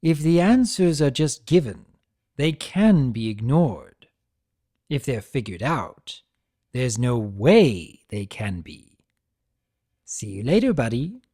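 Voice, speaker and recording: A man performs a male chaos spirit from a dramatic storybook reading. The delivery is theatrical and lively, leaping in pitch and lingering on emphatic words. The character needles and taunts, yet sounds sympathetic. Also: slow. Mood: sly, friendly.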